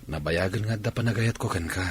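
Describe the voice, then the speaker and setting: An actor as an ardent young Ilocano suitor in a radio play. He is earnest and respectful, with a fairly low, husky voice. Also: fast. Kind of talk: radio drama acting.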